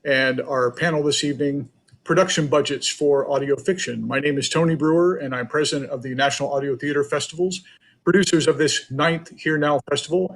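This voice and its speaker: A man giving a prepared welcome and announcements as host of a panel. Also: fairly high pitch. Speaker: festival president introducing a panel.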